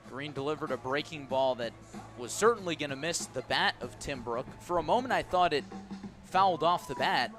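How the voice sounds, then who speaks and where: A male radio announcer with a fairly high voice calls a baseball game live. He lays out counts and plays in measured detail and lifts his delivery for big moments. Music plays behind him. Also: a bit bright. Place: ballpark broadcast position.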